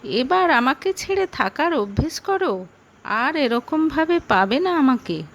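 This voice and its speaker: A woman's voice: sits high, very animated, unhurried, a little hiss or hum, full character voice. A woman voicing an uneasy married woman who is firm with her lover, in a dramatic audio story. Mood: teasing, firm. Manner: protesting, emphatic.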